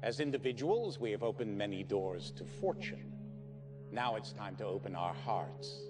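Actor as a stately older American president. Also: male voice, on the low side, expressive, over music, lightly acted. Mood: rousing resolve. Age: 60s. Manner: measured, oratorical, emphatic pauses. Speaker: president giving a speech. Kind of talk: formal televised speech, acted.

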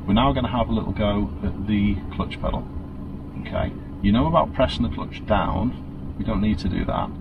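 A man's voice: lowish voice, normal speed, vocal fry, phone-quality sound. Patient male driving instructor. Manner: calm, encouraging, checks understanding often. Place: inside a parked car. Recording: noisy car interior.

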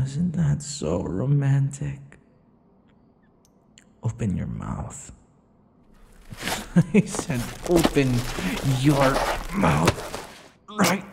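A man playing a possessive, sadistic yandere vampire in an intimate ASMR roleplay, taunting and slipping into breathy whispers. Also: a little high, background noise, heavily acted. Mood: commanding, pleased. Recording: long hall-like reverb.

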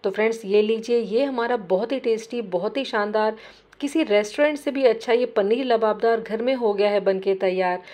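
Woman, cooking channel host; friendly step-by-step voice-over, slightly high voice. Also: moderate pace, a little mellow.

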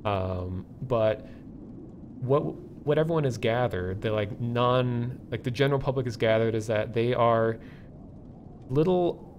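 A man hosting a livestream, explaining things casually and off the cuff in a halting, thinking-aloud way. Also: mid-range voice, darkish tone, slight background noise. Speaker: livestream host. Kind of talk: casual live explainer, unscripted.

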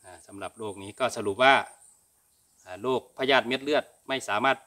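A man's voice: mid-range voice, brisk, pushed and bright. A middle-aged man from Thailand explaining things informally as a host answering viewer questions, with frequent polite particles. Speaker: cattle farming channel host. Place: open-sided cattle barn.

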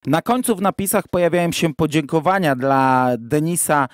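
Male podcast host, somewhat high voice, conversational and explanatory, very dry recording. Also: normal speed, no echo. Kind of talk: conversational podcast discussion of audio drama. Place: virtual studio, remote podcast recording.

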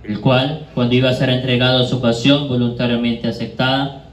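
Solemn priest in an echoey room. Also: male voice, medium pitch, flat delivery. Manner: solemn, measured recitation. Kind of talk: liturgical prayer at Mass. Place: small bare indoor room. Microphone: handheld microphone. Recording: echoey room, distant, background noise.

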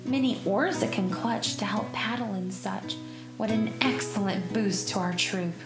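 A woman narrating a rhyming children's picture book in an expressive, measured storytelling way. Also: medium pitch. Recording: roomy echo, music behind. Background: plucked guitar music.

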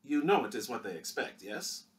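A man voicing a gentle, towering former boxer with an Italian accent, speaking slowly and quietly. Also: medium pitch, very animated, recorded from a distance, close to an everyday voice. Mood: dry. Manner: slow, quiet, halting.

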